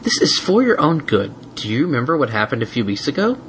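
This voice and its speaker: Man putting on a prim, high-pitched lady unicorn. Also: very animated, fast, a bit bright, slight background noise. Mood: firm. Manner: coaxing, silken, primly insistent. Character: prim, sweetly firm young female unicorn guardian. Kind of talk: fan fiction audiobook character voice.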